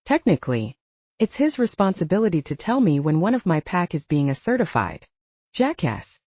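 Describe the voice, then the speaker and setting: A woman's voice: fairly low pitch, very animated, normal speed, dark tone, no room sound, lo-fi sound. A woman voicing a commanding, exasperated older brother, an alpha werewolf, with a stern, patronizing manner in an audiobook.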